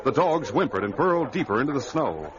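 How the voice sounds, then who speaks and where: A male old-time radio announcer with a mid-pitched voice that swings widely. His delivery is dramatic and emphatic. The vintage recording sounds dull and muffled. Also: very animated, fairly quick. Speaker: announcer and narrator.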